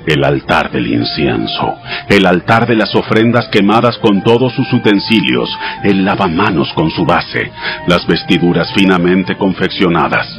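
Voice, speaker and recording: The Almighty in an audio Bible drama. The voice is deep and grave, speaking with solemn authority as he gives commands. Soft music runs behind it. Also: animated, a little slow. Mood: solemn, instructing.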